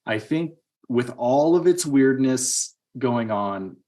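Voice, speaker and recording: A man's voice: medium pitch, lively intonation, slow pace, darkish tone. A middle-aged man giving his opinions in a casual, rambling movie podcast chat. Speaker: podcast host.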